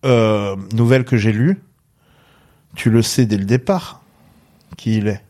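A man's voice: lowish voice, a little slow, a little mellow. A man as a guest on a casual pop-culture chat, laid-back, often answering with short agreeing replies. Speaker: podcast guest.

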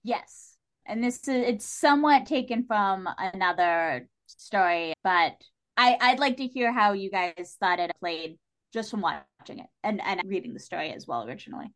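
A woman's voice: medium pitch, expressive, normal speed, poor audio. A woman talking casually and analytically as a guest on a podcast.

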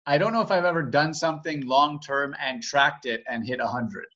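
A man's voice: a little high, brisk, bright, forceful tone. A man co-hosting a podcast in a casual, conversational style, on slightly lo-fi audio. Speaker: podcast co-host.

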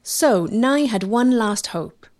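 A woman narrating a history documentary from a script. She explains events clearly and steadily. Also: a little high, animated, slow, a little mellow.

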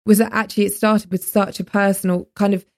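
A woman's voice: medium pitch, little pitch movement, fast, darkish tone. A woman, co-hosting a podcast and chatting casually about a book, laughing often. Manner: chatty, laughs often, quotes her own thoughts aloud. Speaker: podcast co-host. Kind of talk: casual book discussion chat.

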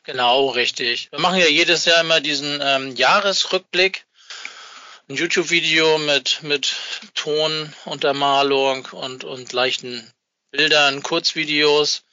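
A middle-aged man with a very bright, forward voice talks casually in an interview. He hesitates often as he gathers his words. The sound is dry and slightly dull. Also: fairly high pitch, fairly even intonation, dry recording. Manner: halting, hesitant, searching for words. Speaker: interview guest, fan magazine maker.

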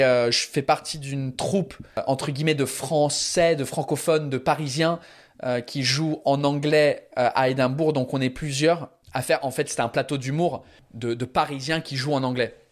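Hesitant non-native man with a slightly high voice. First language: not French. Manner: hesitant, many fillers and restarts, drops English phrases. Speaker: stand-up comedian, guest. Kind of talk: relaxed interview answer.

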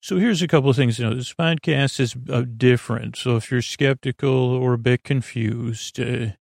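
A man hosting a bedtime podcast in a rambling, improvised monologue, halting and stammering as he meanders into absent-minded, self-deprecating tangents. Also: medium pitch, creaky, darkish tone, dry recording, clean studio sound. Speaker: host of a bedtime sleep podcast.

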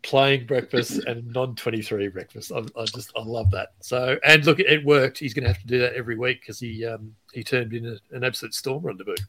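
Male podcast host, upbeat and chatty, mid-pitched voice, trails off quietly at the ends of phrases. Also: fairly even intonation, fairly quick, few pauses. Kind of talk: casual podcast chat with scripted reads.

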